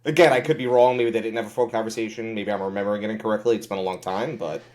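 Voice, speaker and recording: A man's voice: lowish voice, racing. A man co-hosting a podcast who makes his point with energy but keeps second-guessing his own memory. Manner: animated, repeatedly second-guesses himself. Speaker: podcast co-host. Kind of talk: casual podcast conversation.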